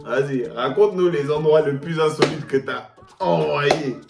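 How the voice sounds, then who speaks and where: A man's voice: fairly high pitch, moderate pace, gravelly. A man in his twenties, chatting and joking in slangy banter while hosting a drinking game. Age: 20s. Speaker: youtuber host. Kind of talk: casual drinking game chat. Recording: echoey room with music behind.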